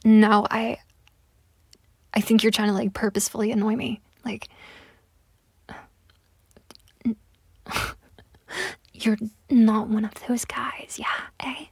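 A woman's voice: fairly high pitch, flat delivery, unhurried, pauses often, darkish tone, no room sound, heavily acted. A young woman voicing a pushy, entitled woman on a date in a one-sided audio roleplay, talking in a close, whispery way with lots of swearing. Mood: angry. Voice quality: husky, breathy, gravelly. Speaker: voice actor.

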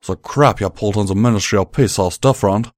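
A man voicing a rough-spoken young male pony with a drawl, strained and emotional. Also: on the low side, moderate pace, a little mellow, dry recording, studio quality, close to an everyday voice.

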